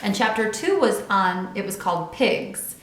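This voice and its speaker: A woman's voice: medium pitch, lively intonation, a little slow, a bit bright, a little hiss or hum. Woman reading to children, echoey room. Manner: chatty and informal, addressing young listeners. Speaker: read-aloud host. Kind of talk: children's book read-aloud with casual asides.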